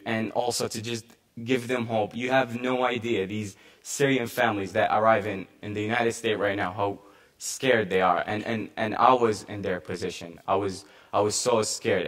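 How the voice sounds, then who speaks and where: A young man giving an earnest, halting personal testimony at a conference, with frequent restarts and fillers. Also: lowish voice. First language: Arabic. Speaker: panel speaker, refugee volunteer.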